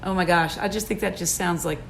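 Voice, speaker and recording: A woman's voice: on the low side, steady tone, a little fast, gravelly, a little hiss or hum. A woman chatting casually and enthusiastically about a story as its co-host and author. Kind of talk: casual chat commentary.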